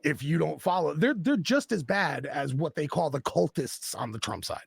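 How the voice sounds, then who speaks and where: A man with a very gravelly, husky voice, speaking at a slightly raised pitch. He talks bluntly and with passion, swearing freely. The sound is close and dry. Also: expressive, normal speed, no echo. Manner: blunt and profane, impassioned. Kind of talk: casual political chat.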